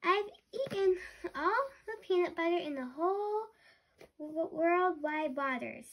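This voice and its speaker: Happy lil narwhal for a kids book, super high and slow, carefree, childlike. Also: female voice, lively intonation, heavily acted. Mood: cheerful, casual. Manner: breezy, unbothered, childlike. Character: cheerful carefree little narwhal, obsessed with food. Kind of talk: picture-book read-aloud character.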